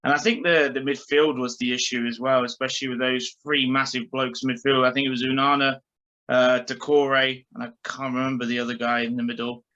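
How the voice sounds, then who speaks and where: A man with a bright, forward voice chats about football as a panellist. The audio is slightly dull and lo-fi, and he talks in an informal, conversational way. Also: medium pitch, flat delivery, brisk. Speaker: podcast panellist. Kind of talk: casual football chat.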